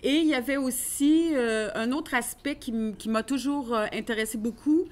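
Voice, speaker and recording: A woman from Canada, a dancer giving a measured, thoughtful lecture with frequent fillers. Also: on the high side. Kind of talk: lecture talk.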